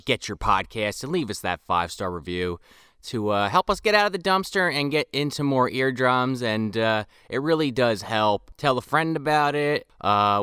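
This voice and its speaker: Bright, lively man on a podcast. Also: mid-range voice, smooth, no room sound, studio quality. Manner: laid-back, reads show plugs. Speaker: podcast host. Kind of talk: casual podcast chat.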